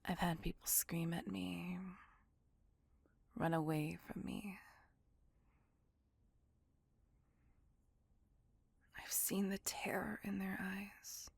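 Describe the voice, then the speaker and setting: A woman voicing a gentle, remorseful immortal vampire in an ASMR roleplay, speaking tenderly and intimately in a breathy whisper. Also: on the low side, fairly even intonation, no room sound, heavily acted. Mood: vulnerable, hurt. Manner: slow, tender, earnest confiding.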